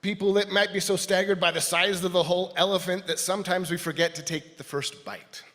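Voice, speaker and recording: A man giving a personal, reflective talk to a congregation as a lay speaker, in a thoughtful, halting manner. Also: high voice, steady tone, fairly bright tone. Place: large indoor church space. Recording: reverberant hall sound.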